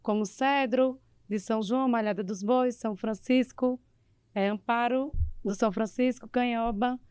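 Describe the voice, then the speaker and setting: A woman's voice: on the high side, steady tone, moderate pace, darkish tone, smooth, no echo. A woman with a northeastern Brazilian accent from Alagoas, recounting her background conversationally and matter-of-factly as a guest. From Maceió, Brazil. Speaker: police chief, interview guest. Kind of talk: conversational personal account.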